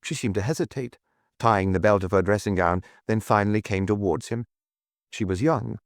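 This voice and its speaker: A man's voice: lowish voice, normal speed, no room sound, clean studio sound. A man reading scripted detective fiction as an audiobook narrator.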